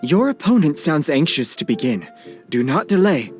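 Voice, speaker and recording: A stately cat presiding over duels in an anime game. The voice sits fairly high for a male, with lively intonation. The speech is formal and commanding, with music clearly behind it. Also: moderate pace. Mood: composed, commanding. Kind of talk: anime video game dub.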